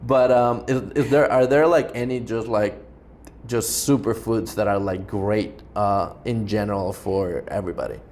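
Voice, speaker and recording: A man's voice: lowish voice, steady tone, moderate pace, a little mellow, slight background noise. A man from Ecuador hosting a podcast, talking in a loose, rambling way with lots of "like" fillers. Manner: rambling, heavy use of "like" fillers. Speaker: podcast host. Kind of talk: casual interview chat.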